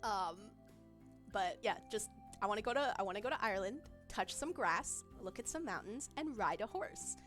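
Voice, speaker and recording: A woman's voice: medium pitch, expressive, moderate pace, a bit bright. A young woman streamer chatting casually with her chat in a giggly, rambling way, full of fillers. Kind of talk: casual livestream chat, reading chat. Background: faint background music.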